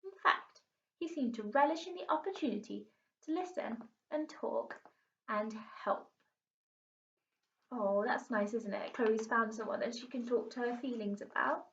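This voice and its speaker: A woman teacher reading a children's storybook aloud in an expressive storytelling style. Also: fairly high pitch, unhurried, slight background noise. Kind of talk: storybook narration. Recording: roomy, distant, muffled home recording.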